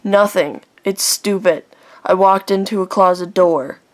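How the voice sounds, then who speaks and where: A woman's voice: medium pitch, a little slow, close to an everyday voice. A woman playing a hostile, defensive teenage older sister in an audio drama, curt and snappish.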